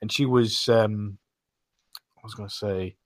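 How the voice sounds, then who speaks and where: A man's voice: fairly low pitch, steady tone, a little slow, no echo. A man on a podcast panel, casually explaining the story of a game.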